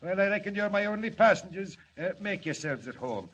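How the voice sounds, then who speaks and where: A man's voice: sits high, lively intonation, brisk, dull audio. An actor playing a friendly, easygoing small-town trolley motorman with folksy manner, in 1940s radio style. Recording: vintage 1940s radio, faint noise.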